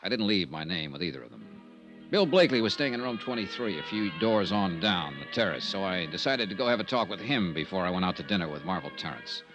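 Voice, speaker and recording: An actor playing a cool, wry, persistent insurance investigator in a 1950s hardboiled radio drama. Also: male voice, sits low, big pitch swings, fast, music in the background. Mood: matter-of-fact narration. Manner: wry, probing. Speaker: lead character and narrator. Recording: noisy 1950s radio recording.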